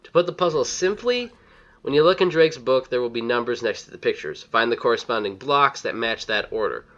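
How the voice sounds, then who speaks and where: A man's voice: medium pitch, very animated, brisk, fairly bright tone. A man giving casual, scripted video game walkthrough commentary in a blunt, wry manner, on a slightly dull recording.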